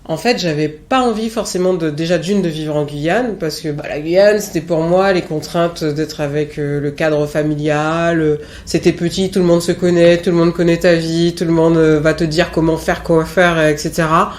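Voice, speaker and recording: A woman's voice: low voice, fairly even intonation, normal speed, few pauses, darkish tone. A woman in her late thirties from French Guiana giving a reflective personal testimony, speaking candidly and with self-assured emphasis. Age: late 30s. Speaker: interviewee.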